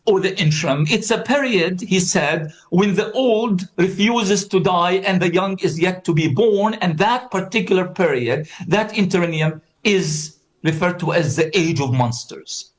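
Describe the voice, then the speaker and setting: Panel speaker: man from Palestine, fairly high voice, impassioned, repeats key words to drive them home. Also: a little slow, few pauses. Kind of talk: conference speech.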